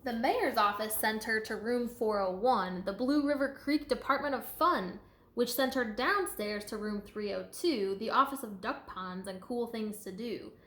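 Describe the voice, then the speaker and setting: Echoey, higher-voiced woman reading a storybook. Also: unhurried. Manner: expressive, emphatic reading. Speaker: storybook reader. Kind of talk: children's picture book read-aloud with asides to listeners. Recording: echoey room.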